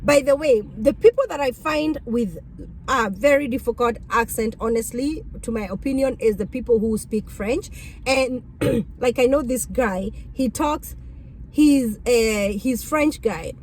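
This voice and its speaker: A woman with a Kenyan accent, whose first language is Kikuyu, telling stories about being a rideshare driver in an animated, exclamatory way. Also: fairly high pitch, measured pace, a little hiss or hum, rough recording. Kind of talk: casual storytelling vlog.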